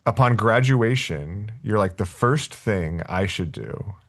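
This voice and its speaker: A male pastor hosts a relaxed church interview in a lowish voice. He often restarts his sentences as he asks his questions. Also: slow pace. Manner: stammering restarts, friendly questions.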